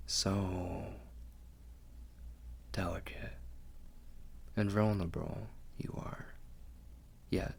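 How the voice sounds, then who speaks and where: A man's voice: sits low, vocal fry, slightly rough, exaggerated voice. A man playing an ancient, possessive and doting vampire lord in an intimate ASMR roleplay, murmuring formally and drifting into whispers. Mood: brooding, tender.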